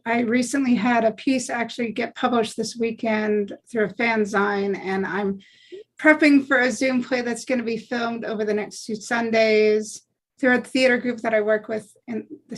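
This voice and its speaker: A woman actor introducing and promoting herself in short, declarative statements. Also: on the high side. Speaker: actor and writer.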